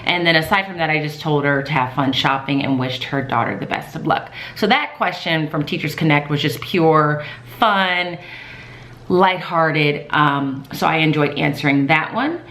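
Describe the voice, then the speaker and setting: A woman in her thirties, a teacher, chatting casually in a calm, soft-spoken way with many fillers. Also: low-pitched, normal speed. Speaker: teacher vlogger. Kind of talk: casual vlog talk. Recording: echoey room.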